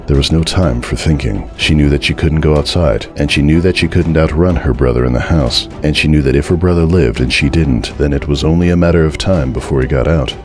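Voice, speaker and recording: A man's voice: extremely low pitch, brisk, few pauses, over music. A man narrating a horror story as a dramatic reading.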